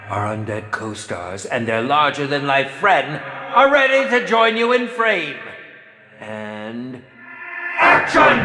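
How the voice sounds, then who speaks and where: An actor playing a sadistic, theatrical horror film director in a video game, with flamboyant delivery and gleeful menace. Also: male voice, high-pitched, pushed and bright, heavily acted. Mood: gleeful, maniacal. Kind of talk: video game villain voice acting. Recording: echoing long reverb, music behind.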